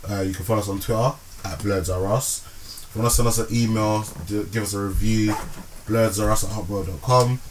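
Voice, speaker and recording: Relaxed male podcast host, lowish voice, faint noise behind. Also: brisk. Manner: relaxed, laughs often, filler-heavy. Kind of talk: casual podcast chat.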